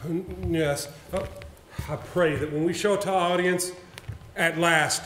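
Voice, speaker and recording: Guy playing a polite troubled gentleman on stage, really high voice for a man, hesitant, echoey. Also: steady tone, measured pace. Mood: solemn, grateful. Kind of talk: amateur stage play acting. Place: theater stage, indoors. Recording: echoey stage recording, faint noise.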